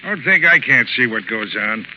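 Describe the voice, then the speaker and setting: An actor playing a cocky, cynical crooked pilot in a 1930s radio drama, with tough, sneering, slangy delivery. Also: male voice, mid-range voice, expressive, moderate pace, lightly acted. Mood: defiant. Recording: muffled, very noisy 1930s radio recording.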